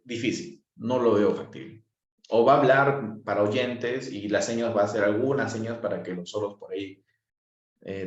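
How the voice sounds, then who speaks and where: A man lectures students in a low voice, laying out his points one step at a time. He keeps tossing in short tag questions to check that they follow. The sound is roomy and a bit muffled, like a lo-fi classroom recording. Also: a little mellow. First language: sign language. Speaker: sign language teacher. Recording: echoey, dull lo-fi sound.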